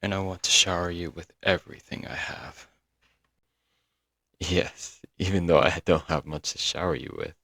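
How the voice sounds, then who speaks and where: A man's voice: low voice, normal speed, vocal fry, pushed and bright, no echo, exaggerated voice. A man playing a young, lovesick and clingy stalker in an intimate, earnest ASMR audio roleplay. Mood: possessive, adoring. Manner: doting, earnest, dramatic with sighs and chuckles. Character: lovesick, broke young male yandere stalker-kidnapper, clingy and sweet.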